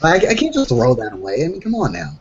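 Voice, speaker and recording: Man with lively pitch, slightly noisy audio. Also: fast. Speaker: tabletop role-playing game player. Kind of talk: casual game-session chat. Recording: dull, noisy voice chat with clicks.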